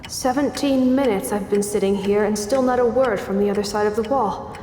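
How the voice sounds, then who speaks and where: Actress as a guarded young female citizen, defiant, mid-pitched, long reverb, radio drama. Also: a little fast, dark tone, a little hiss or hum, close to an everyday voice. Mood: anxious. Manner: tense self-talk, guarded. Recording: roomy reverberant sound with faint music.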